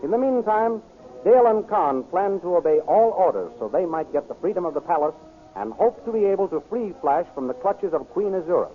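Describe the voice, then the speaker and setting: A man's voice: high-pitched, animated, a little fast, faint music behind. A man announcing and narrating a 1930s radio serial in a dramatic, declaiming manner. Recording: tinny old radio transcription.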